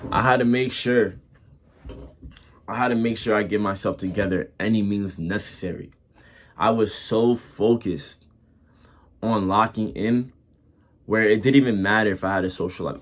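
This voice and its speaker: A man in his twenties giving casual, earnest motivational advice, repeating himself and using casual slang. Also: fairly low pitch. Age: 20s. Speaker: vlogger giving life advice.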